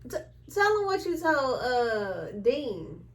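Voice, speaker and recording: A woman's voice: sits high, very animated, slow. A woman chatting and telling stories casually, blunt and teasing, with slang and swearing. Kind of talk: casual chat, storytelling.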